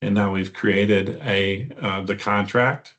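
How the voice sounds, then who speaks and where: A man with a fairly low voice demonstrates software in an unscripted walkthrough. He explains one step at a time. His delivery is halting as he works through each part. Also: steady tone, highs cut off.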